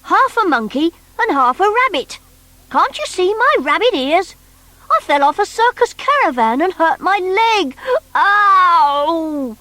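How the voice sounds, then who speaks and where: A woman's voice: very high voice, very animated, takes it slowly, sharp tone, dry recording, pitched above the performer's own voice, full character voice. A woman voicing a small half-monkey, half-rabbit storybook creature, pleading and eager to please. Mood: plaintive. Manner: pleading, effusively grateful.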